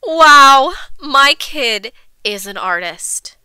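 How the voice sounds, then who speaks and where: Woman playing an excitable, high-pitched storybook mom. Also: brisk, no room sound, full character voice. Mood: amazed. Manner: exclamatory, emphatic. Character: excitable, easily alarmed, doting storybook mother. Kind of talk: acted children's storybook dialogue.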